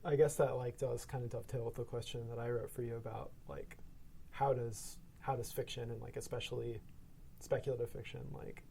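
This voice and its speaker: Gravelly, flat-voiced man rambling. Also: mid-range voice, a little fast, slight background noise. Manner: rambling, heavy use of like and um. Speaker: guest sound designer. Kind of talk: casual round-table discussion.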